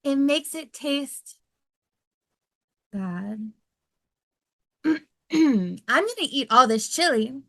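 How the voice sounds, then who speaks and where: A woman performs an unhinged male professor from a TV comedy script. The voice runs a bit high and manic, in a close, dry recording. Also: lively intonation, drawn-out words, long pauses, bright, forceful tone, dry recording, full character voice. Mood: manic, singsong. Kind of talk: fan table read of a TV comedy.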